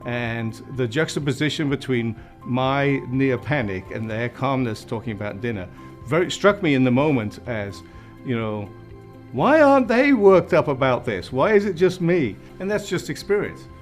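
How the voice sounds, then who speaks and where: A middle-aged man from Wales with a slight Welsh accent, a fire chief speaking in a measured, reflective way in an interview. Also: medium pitch, sing-song, faint music behind. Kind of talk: interview talk.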